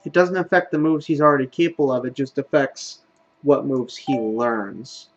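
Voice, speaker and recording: A man's voice: medium pitch, fairly even intonation, moderate pace, lo-fi sound, a little hiss or hum. Casual man doing let's play commentary. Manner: thinks aloud while playing. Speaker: gaming video host.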